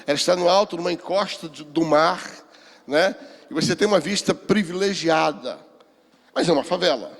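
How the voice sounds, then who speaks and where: A Brazilian man in his sixties giving a sermon of personal testimony, speaking deliberately and repeating phrases for emphasis. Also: fairly high pitch, creaky. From Rio de Janeiro, Brazil. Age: 60s. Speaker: pastor, seminar speaker. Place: church hall, speaking to a seminar. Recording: reverberant hall.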